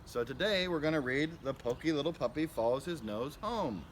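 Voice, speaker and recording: Male storybook reader, medium pitch, child-directed narration, clearly noisy recording. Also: animated, brisk. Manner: simple, child-directed narration with asides about the pictures. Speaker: school principal reading to children. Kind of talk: picture book read-aloud.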